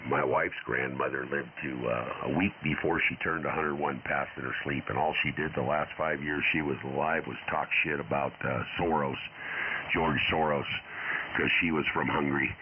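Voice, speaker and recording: A man's voice: extremely low pitch, fairly even intonation, moderate pace. A man chatting casually in a blunt, informal way as an operator on a ham radio transmission. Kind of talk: casual chat. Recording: muffled ham radio transmission with faint static.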